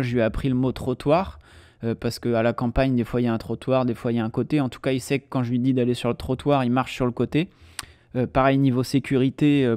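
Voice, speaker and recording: Homme hésitant au ton posé et sombre. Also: male voice, mid-range voice, no echo, clean studio sound. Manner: hesitant, many "euh" fillers, relaxed explaining. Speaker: podcast host. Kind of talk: casual solo podcast monologue.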